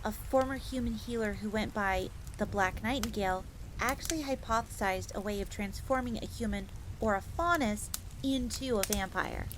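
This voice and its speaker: A woman's voice: medium pitch, normal speed, natural voice. A woman playing an earnest, devoted young fox maid in a scripted fantasy roleplay audio drama, bookish and speaking of herself in the third person. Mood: earnest, explaining. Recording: steady ambient noise, crackling fire.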